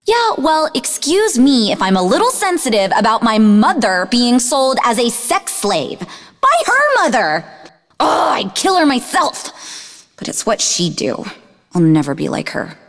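An actress playing a sarcastic, defensive young woman in a video game, speaking in an expressive, conversational way. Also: on the high side, unhurried, big-room sound, heavily acted. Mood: bitter, angry. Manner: snarky, conversational, emotionally expressive. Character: sarcastic, defensive young woman, idealistic martial-arts student and researcher. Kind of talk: video game character dialogue.

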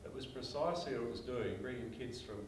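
A man's voice: mid-range voice, quick pace, slight background noise. An older Australian man speaking as a panelist, telling stories emphatically in a panel discussion. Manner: emphatic storyteller, gestures while talking. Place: small meeting room. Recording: echoey room from far off.

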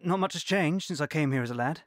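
A man's voice: on the high side, fast talker, no room sound, clean studio sound, natural voice. An actor in his sixties narrating as an older man recalling his wartime boyhood, reflective and wistful. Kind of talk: scripted narration. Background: music.

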